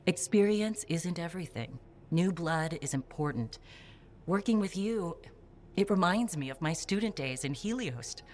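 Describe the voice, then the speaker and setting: A scholarly middle-aged aunt. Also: female voice, on the low side, lively intonation, a little slow, a little mellow. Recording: game audio with music behind.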